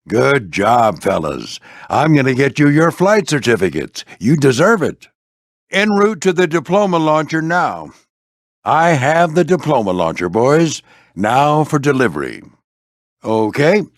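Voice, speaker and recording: An older man voicing a veteran warplane skipper in a video game, a gruff, fatherly flight instructor with folksy, military phrasing. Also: mid-range voice, no room sound, studio quality, heavily acted. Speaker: squadron skipper and mentor. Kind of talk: animated video game character voice lines.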